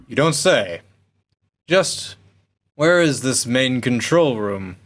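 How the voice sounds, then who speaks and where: A man's voice: mid-range voice, expressive, takes it slowly, a bit bright. A man playing a scornful, trigger-happy lieutenant, commanding and sneering in a theatrical radio play reading. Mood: sardonic. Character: scornful, trigger-happy military lieutenant barking orders at patrol. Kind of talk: radio play cold read.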